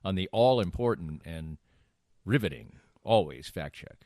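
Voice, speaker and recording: A man's voice: deep voice, lively intonation, unhurried, a little mellow, no room sound, clean studio sound. A man hosting a podcast, moving between casual banter and sponsor segments. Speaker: podcast host.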